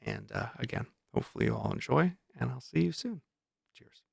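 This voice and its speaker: A man's voice: medium pitch, huge pitch swings, a little fast, gravelly, husky, no echo, studio quality. A man chatting casually as a vlog host, informal and enthusiastic about his writing. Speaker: vlog host and indie author.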